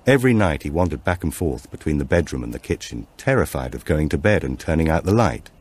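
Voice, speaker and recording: A man's voice: very deep voice, very animated, fast, breathy edge. A man reading a supernatural thriller aloud as a scripted audiobook narration.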